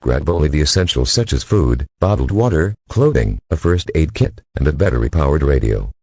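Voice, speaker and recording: A man's voice: a bass voice, animated, a little fast, a little mellow, husky, no room sound. A synthetic-sounding man reading a scripted emergency alert in a formal manner.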